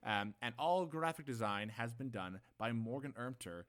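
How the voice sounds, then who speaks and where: A man's voice: mid-range voice, expressive, moderate pace, fairly bright tone. A young adult man hosting a podcast in a casual, playful, wry manner. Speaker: podcast host.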